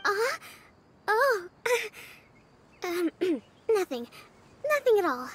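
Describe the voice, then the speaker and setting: A woman playing a gentle, composed young female general in a video game, polite and measured. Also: very high-pitched, sing-song, exaggerated voice. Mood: flustered. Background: game music.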